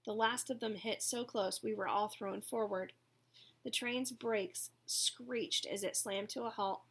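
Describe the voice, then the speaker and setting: A woman's voice: mid-range voice, a bit bright. A woman narrating a first-person story from a written text, as a reader of an audiobook.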